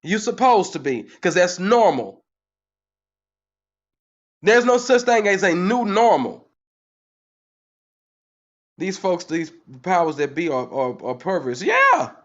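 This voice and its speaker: A man in his forties hosts a heated commentary stream, his voice sitting high for a man. He repeats himself and keeps asking the chat rhetorical questions. The sound is dull and lo-fi. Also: sing-song, slow, long pauses. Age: 40s. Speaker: channel host. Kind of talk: livestream commentary, reading the chat.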